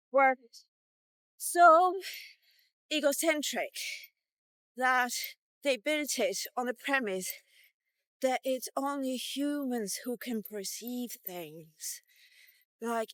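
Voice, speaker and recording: A woman in her fifties speaks in a fairly high voice at a very unhurried pace. She thinks out loud in a casual, rambling monologue, blunt and freely swearing. The sound is dry and close, with no room around it. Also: no room sound. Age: 50s. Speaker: vlogger. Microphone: walkie-talkie vlog, recorded on the go.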